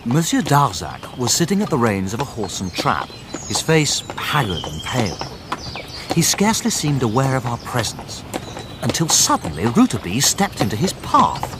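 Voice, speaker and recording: A gentleman companion tells and acts out a radio mystery. His voice sits mid-range and moves with lively expression. He is loyal and a little long-suffering, over clear background noise. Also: medium pitch. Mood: measured storytelling. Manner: measured storytelling, hesitant and long-suffering in dialogue. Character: loyal gentleman companion and narrator, mildly put-upon. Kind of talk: radio drama narration and dialogue.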